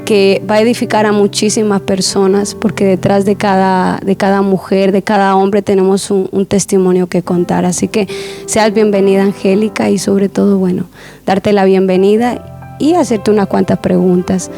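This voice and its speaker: Mellow-voiced woman hosting a church program. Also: mid-range voice, flat delivery, moderate pace, faint music behind. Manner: warm pastoral, repeats words, many affirming murmurs.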